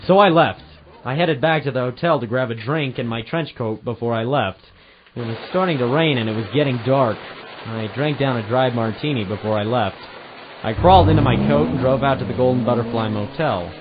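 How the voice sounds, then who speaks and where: A man playing a wry, deadpan private eye narrating his case in 1940s radio noir style. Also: medium pitch, a little fast, background noise, lightly acted. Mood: sly, matter-of-fact. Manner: sardonic, deadpan. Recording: dull lo-fi audio with loud music.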